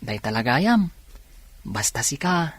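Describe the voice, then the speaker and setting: A woman with a husky voice playing a caring, coaxing young factory worker in a radio drama. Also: very deep voice, very animated, takes it slowly, no room sound, exaggerated voice. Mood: teasing, encouraging. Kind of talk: Ilocano radio drama acting.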